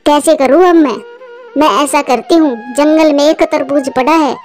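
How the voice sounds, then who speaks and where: A woman's voice: high voice, fairly even intonation, moderate pace, darkish tone, soft background music, natural voice. A woman voicing an earnest, hard-working female cartoon bird who frets aloud in a plaintive manner. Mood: distressed, regretful. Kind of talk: Hindi cartoon story voice acting.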